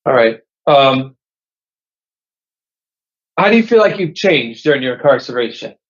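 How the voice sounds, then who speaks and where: A man on a parole board, questioning patiently and deliberately. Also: medium pitch, expressive. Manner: measured, patient, asks repeated questions. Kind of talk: formal questioning. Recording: dull lo-fi call audio.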